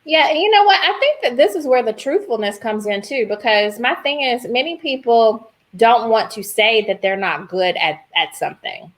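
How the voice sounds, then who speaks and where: A woman speaking as a guest professor in an informal discussion, with a candid, deliberate and emphatic manner. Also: fairly high pitch, expressive.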